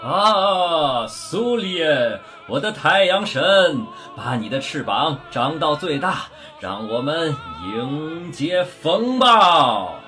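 A man playing a rapturous solar-sail pilot in a radio drama, declaiming with drawn-out grandeur. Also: fairly high pitch, sing-song, bright, forceful tone, soft background music, heavily acted. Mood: rapturous, reverent.

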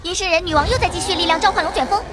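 A woman playing a spirited young girl adventurer with pet fighting ducks in a fantasy audio drama, excitable and exclamatory. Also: very high voice, racing, noisy background. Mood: urgent. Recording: loud music behind the voice.

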